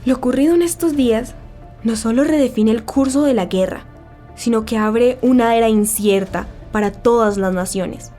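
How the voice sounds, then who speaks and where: A woman's voice: fairly high pitch, moderate pace, warm tone, faint music behind, natural voice. A woman playing a solemn radio newsreader of the 1940s, delivering scripted war news in a formal, grave, dramatic way.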